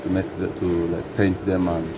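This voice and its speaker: A man from Congo who grew up in Uganda, a bus mechanic answering questions in a halting way, often restarting and adding "you know". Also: low-pitched, level tone, heavy background noise. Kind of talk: casual interview answers. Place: large vehicle workshop.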